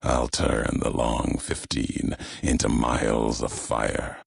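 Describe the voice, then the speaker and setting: Casting: brooding, fatalistic game courier, heavy fry and husky grain, grave, cryptic, unhurried menace. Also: male voice, flat delivery, no room sound, studio quality, exaggerated voice. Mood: menacing. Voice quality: deep, gravelly, raspy. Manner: slow, solemn, cryptic, clipped phrases. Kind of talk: video game character dialogue.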